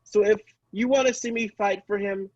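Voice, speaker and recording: A man's voice: high voice, fairly even intonation, normal speed, a little mellow, dry recording. Guy playing a mild preachy angel, hesitant and earnest, dry muffled audio, improv comedy. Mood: devoted. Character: meek, devoted, preachy angel. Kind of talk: improvised comedy radio play. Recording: slightly dull, dry close recording.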